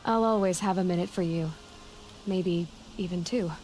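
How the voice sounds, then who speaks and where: A woman's voice: lowish voice, fairly even intonation, moderate pace, a little mellow, slight background noise, lightly acted. A woman playing a self-possessed, direct young hunter heroine in a video game, plain-spoken and understated. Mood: wry, friendly. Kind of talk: dramatic cutscene dialogue.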